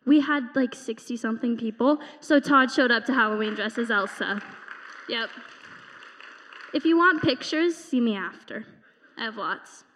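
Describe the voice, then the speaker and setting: A teenage girl with a fairly high voice shares stories at a church service in a chatty, upbeat manner. Her voice carries a long reverberant hall tail. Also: moderate pace, fairly bright tone. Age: teens. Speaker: teen speaker at youth service. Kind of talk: church testimony talk. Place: church sanctuary.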